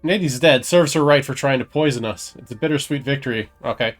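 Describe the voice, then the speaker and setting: Male game streamer, mid-pitched voice, casual play-by-play with some reading aloud, music faintly behind. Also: brisk. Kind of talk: casual gameplay commentary, sometimes reading game text aloud.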